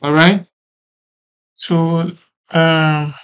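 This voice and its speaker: A man presenting a casual software tutorial in a halting, repetitive way, often checking that the listener understands. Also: fairly high pitch, flat delivery, clean tone.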